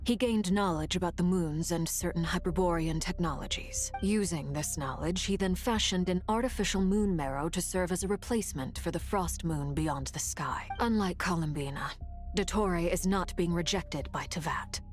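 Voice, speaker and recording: A woman voicing a grave, analytical female character in a video game, explaining things in a formal, measured way. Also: fairly low pitch, faint music behind, close to an everyday voice.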